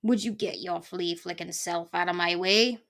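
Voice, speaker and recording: Woman voicing a gruff, gravelly old male porcupine. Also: on the low side, fairly even intonation, normal speed, a bit bright. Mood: bellowing, furious. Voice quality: growling, gravelly. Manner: barking colorful insults, folksy slangy speech.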